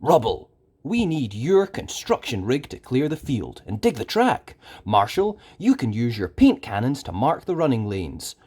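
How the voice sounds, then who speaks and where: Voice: mid-range voice, big pitch swings, measured pace, darkish tone, slightly rough. A storyteller voicing an upbeat young boy team leader in a children's story, rallying and confident.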